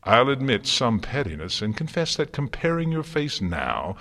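Guy doing a stern storybook queen, icy and haughty, pitch raised a bit and moving a lot. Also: very animated, normal speed, a bit bright. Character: proud, stern, icy female queen pony, deeply suspicious. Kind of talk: dramatic storybook character reading.

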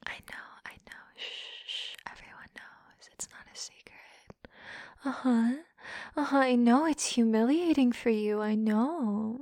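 A young woman playing a teasing, domineering woman who mocks a listener, close to the mic, shifting between soft hushed whispers and louder taunts. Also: a little high, gravelly, no echo, full character voice.

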